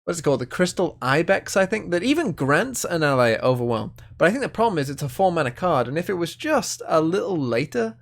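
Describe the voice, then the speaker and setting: A man's voice: a little high, big pitch swings, a little fast, vocal fry, few pauses. A young man casually talking through new cards for a card game, thinking aloud with lots of hedges and fillers. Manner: chatty, hedging, thinking aloud with many fillers. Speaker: card game video host. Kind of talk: casual card review commentary.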